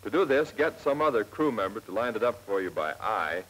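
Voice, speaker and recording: Husky American man, 1940s training film narrator. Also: on the low side, big pitch swings, a bit bright, poor audio. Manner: clear step-by-step instructing, measured and plain. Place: scripted voice-over for film. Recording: old 1940s film soundtrack, slightly rough.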